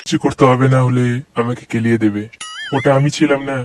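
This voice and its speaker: A man's voice: medium pitch, steady tone, fast talker, lightly acted. A man voicing a grumpy, foul-mouthed god who scolds crudely in a comic cartoon. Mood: exasperated. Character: grumpy, foul-mouthed male god, exasperated with his devotee. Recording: very noisy cartoon soundtrack.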